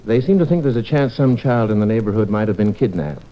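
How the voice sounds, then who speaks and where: Casting: actor as easygoing small-town doctor dad, mid-range voice with lively inflection, gentle manner, muffled old radio recording. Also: male voice, on the low side, fast, natural voice. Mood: gentle concern. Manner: gentle, fatherly, conversational. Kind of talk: 1950s radio comedy-drama acting.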